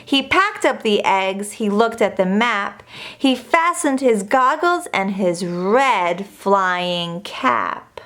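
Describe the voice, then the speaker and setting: A woman reading a rhyming children's picture book aloud in an expressive, deliberate storytelling manner. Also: mid-range voice. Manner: measured, deliberate storytelling delivery. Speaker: storybook reader.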